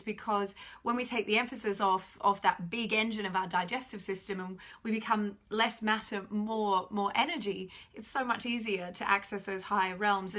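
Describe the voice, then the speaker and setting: A woman in her thirties with a slightly croaky voice, chatting animatedly on a live stream from home to her phone camera. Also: mid-range voice. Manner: animated, gestures a lot while talking; chatty and self-deprecating. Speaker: spiritual teacher hosting a live stream. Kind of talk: casual live-stream talk. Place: home room indoors. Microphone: phone camera at arm's length.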